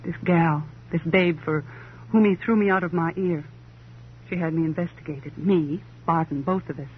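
A woman's voice: lowish voice, moderate pace, close to an everyday voice. An actress playing a wealthy, proud divorced woman in a 1950s radio crime drama, with an arch, sardonic, flippant manner and affected society phrasing. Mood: wry, wounded pride. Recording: muffled old radio recording, hum and hiss.

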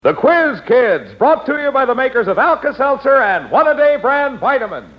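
A man's voice: extremely high pitch, very animated, normal speed, dull audio. An American man announcing an old-time radio show on a noisy vintage recording.

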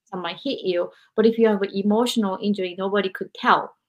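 A woman's voice: medium pitch, fairly even intonation, quick pace, highs cut off. A woman in her forties from Japan, hosting a podcast interview and reflecting aloud, often saying "like" and "you know". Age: 40s. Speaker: podcast host. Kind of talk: interview conversation.

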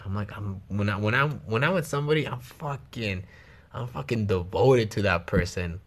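A man's voice: on the low side, normal speed. A young man from the Los Angeles area vlogging in casual chat with his girlfriend, joking and cursing freely with slang.

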